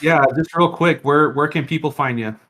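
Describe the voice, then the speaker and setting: A man's voice: on the high side, normal speed, fairly bright tone, slight background noise. A man co-hosting a podcast, chatting casually with his guest and fellow host.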